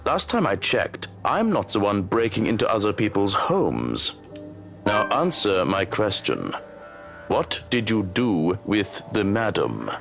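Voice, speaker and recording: A menacing game henchman, acted out by a man, toying with his victim in mock-polite taunts. His slightly low voice swings up and down with cruel amusement, over background music. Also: huge pitch swings, slow, gravelly, a bit bright, exaggerated voice. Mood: cold threat. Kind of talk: visual novel villain dialogue, read aloud.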